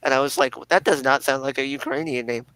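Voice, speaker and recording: A man with a smooth, clear voice at a medium pitch chats casually as a talk show co-host. His speech is spontaneous and conversational, and the sound is dry, with no room echo. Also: quick pace, a bit bright. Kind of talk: casual unscripted chat.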